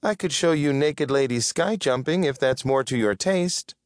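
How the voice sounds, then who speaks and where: A man's voice: medium pitch, normal speed, no echo, clean studio sound, voice pushed up, natural voice. A man voicing a touchy, indignant talking magic mirror with a snippy manner, in a fantasy audiobook.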